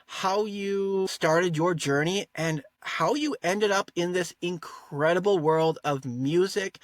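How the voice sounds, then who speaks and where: A man's voice: high voice, slow pace, no room sound. A man interviewing a guest, asking encouraging, open questions.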